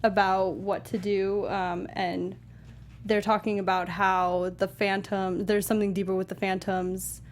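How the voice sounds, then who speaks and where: A woman's voice: medium pitch, flat delivery, a little slow, slight background noise. A woman co-hosting a film review show, chatting casually about movies.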